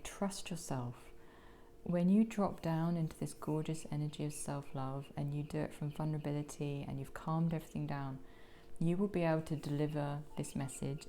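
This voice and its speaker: A woman with a croaky voice giving a gentle pick-a-card tarot reading, slipping into soft whispers now and then. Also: low voice, steady tone, moderate pace, slight background noise. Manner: gentle, soothing, drops into whispers.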